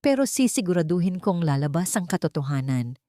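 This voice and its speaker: A woman's voice: lowish voice, very animated, a little fast, warm tone, dry recording, clean studio sound. A woman voicing a frightened, guilt-ridden younger sister, trembling and pleading, in an audiobook drama. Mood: remorseful, resolved. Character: frightened, remorseful younger sister secretly helping a captive.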